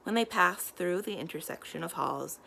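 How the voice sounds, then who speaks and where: A woman reading a children's novel aloud as a storyteller, expressive and giving the characters their own lines. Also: fairly low pitch, moderate pace, creaky, a little hiss or hum.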